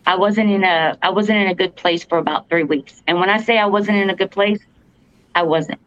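A woman with a fairly deep voice, earnestly telling a story as a podcast co-host, halting with fillers and restarts. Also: fairly bright tone, no echo. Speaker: podcast co-host, paranormal investigator. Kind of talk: casual storytelling. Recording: dull lo-fi podcast audio, dry.

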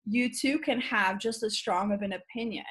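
A woman hosting a podcast, chatting casually and telling things in an animated, candid way. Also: mid-range voice, moderate pace. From Albania. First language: Albanian. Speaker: podcast host. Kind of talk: casual conversational interview.

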